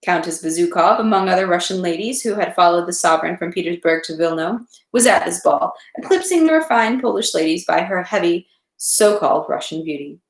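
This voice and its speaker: A woman's voice: lowish voice, lively intonation, brisk, gravelly, roomy sound, rough recording. A woman reading a classic novel aloud in an unpolished amateur way, with occasional stumbles. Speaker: reader of a read-aloud series. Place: indoors at home, echoey room.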